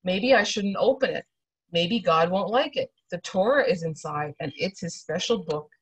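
A woman's voice: on the low side, animated, normal speed, slightly rough, rough recording. A woman voicing an earnest, devout little boy in a read-aloud storybook. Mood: hesitant.

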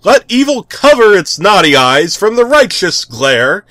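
A man's voice: high-pitched, very animated, a little slow, sharp tone, no echo, voice pushed up, exaggerated voice. A man voicing an enthusiastic, dim-witted superhero who makes grandiose proclamations in a comic story reading. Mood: righteous, bombastic.

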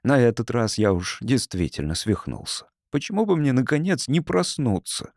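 A man's voice: mid-range voice, very animated, moderate pace, vocal fry, a little mellow, no room sound, clean studio sound. A man playing a weary, suspicious young male student, grumbling and wary, in an audiobook. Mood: dazed.

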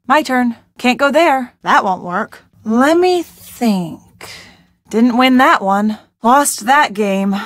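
Casting: young American female adventure-game sidekick, peppy and wry, fairly high voice, dry studio sound. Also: no echo. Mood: playful. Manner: expressive, colloquial, wry asides. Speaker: video game voice actor. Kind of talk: scripted adventure game dialogue.

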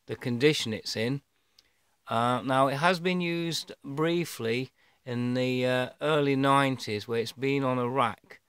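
A middle-aged man giving a casual unboxing commentary, rambling and thinking aloud with halting phrases as he films by hand indoors. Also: medium pitch, clear, resonant tone. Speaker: vlogger showing his own gear. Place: indoors, bedroom, filming over a bed. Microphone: handheld camera or phone held by the speaker.

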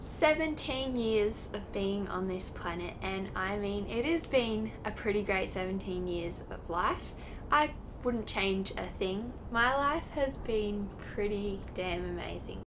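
A teenage girl speaks straight into a camera mic on the deck of a sailboat. Her talk is upbeat and chatty. Steady outdoor ambience hisses behind her. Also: medium pitch, a little slow. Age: teens. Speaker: vlogger. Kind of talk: casual vlog to camera.

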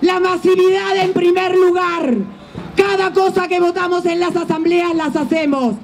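Argentine woman shouting a union rally speech, really high voice, gravelly edge, handheld mic outside. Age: middle-aged. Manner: impassioned, forceful shouted oratory. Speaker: teachers' union leader and legislator. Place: outdoors at a street rally. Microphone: handheld microphone. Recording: outdoor microphone with faint noise.

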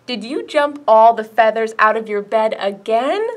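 A woman reading a gentle storybook mommy. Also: a little high, lively intonation, measured pace. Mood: questioning. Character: gentle mother in a children's story.

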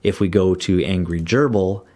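A man explaining audio editing software in a steady screen-recorded tutorial voice-over. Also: low voice, moderate pace.

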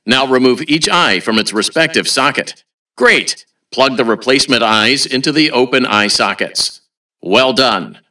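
Bright, blandly cheerful robot announcer. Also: male voice, mid-range voice, distant mic. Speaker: automated announcer. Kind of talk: scripted video game announcements.

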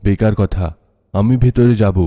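A man playing a stubborn, commanding officer in an animated horror story, brusque and dismissive of superstition. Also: fairly low pitch, normal speed, close to an everyday voice. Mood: dismissive, irritated.

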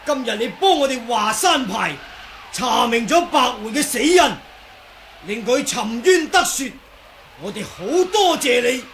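An actor playing a haughty, indignant martial arts sect elder, declaiming combatively in a 1980s radio drama. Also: male voice, very high voice, expressive, unhurried, a bit bright, heavily acted. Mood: haughty, threatening. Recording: hissy, noisy old radio drama recording.

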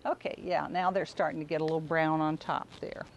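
A middle-aged woman giving a casual, unhurried cooking demonstration, explaining informally as she works outdoors. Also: low-pitched, fairly even intonation, slight background noise. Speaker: home cook demonstrating a recipe. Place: outdoors in a backyard garden.

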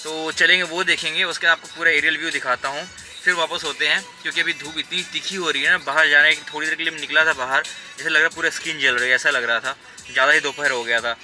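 A man's voice: a little high, steady tone, quick pace, bright, forceful tone, faint music behind. A man vlogging casually from inside a car, talking straight to his viewers about where he is going next. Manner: chatty, addresses viewers directly. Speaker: travel vlogger. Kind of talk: casual travel vlog.

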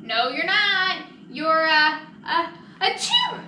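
A woman's voice: very high-pitched, big pitch swings, takes it slowly, bright, forceful tone, exaggerated voice. A woman voicing a skeptical, bossy raccoon in a picture-book read-aloud, doubting and emphatic, in an echoey room. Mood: challenging, emphatic. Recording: echoey room, distant mic, noisy.

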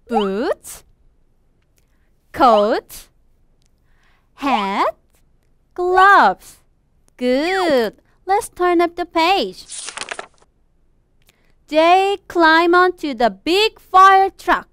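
A young woman from South Korea, a native Korean speaker, teaching English to children as a storybook reading guide in animated, exaggerated teacher talk. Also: high voice, no echo, clean studio sound. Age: young adult. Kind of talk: children's storybook English lesson, switching between two languages.

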